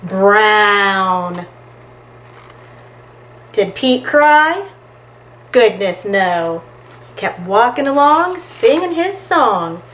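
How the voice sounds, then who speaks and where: A woman reading a children's picture book aloud in an expressive, deliberate, singsong storytime manner. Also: medium pitch. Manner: expressive, deliberate, singsong delivery with dramatic exclamations.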